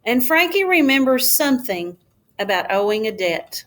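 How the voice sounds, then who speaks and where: A woman reading a children's storybook aloud like a schoolteacher, in a careful, expressive storytelling manner. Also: fairly high pitch, normal speed, slight background noise.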